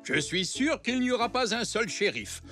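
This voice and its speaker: A man's voice: sits high, very animated, moderate pace, bright, forceful tone, full character voice. A man voicing a kindly, reassuring zebra grandfather in a French children's cartoon. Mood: genial. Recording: music behind.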